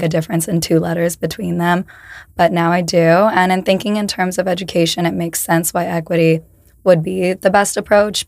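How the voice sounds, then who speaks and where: A young woman from the United States co-hosting an educational podcast, her voice hoarse from laryngitis, chatting casually with lots of "like" fillers. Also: lowish voice, a little mellow, no echo, studio quality. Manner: talks with many "like" fillers. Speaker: podcast co-host.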